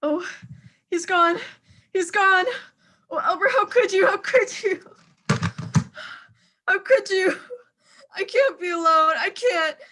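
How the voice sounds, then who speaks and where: A nervous, demanding invalid wife in a 1940s-style radio thriller. Her voice is extremely high. She sounds imperious and fretful, always on the edge of breathless panic. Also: takes it slowly, pauses often, pushed and bright, full character voice. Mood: tearful despair. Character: anxious, demanding invalid wife terrified alone at home.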